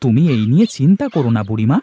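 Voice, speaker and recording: A man's voice: mid-range voice, sing-song, very fast, warm tone, slight background noise, close to an everyday voice. A man playing a humble, anxious village husband in a cartoon, speaking earnestly and pleadingly.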